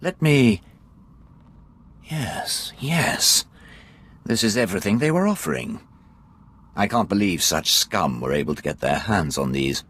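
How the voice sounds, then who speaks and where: An actor playing a curt, smug and impatient Imperial contact in a video game cutscene. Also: male voice, fairly low pitch, lively intonation, unhurried, pauses often, fairly bright tone, husky, full character voice. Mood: pleased, smug.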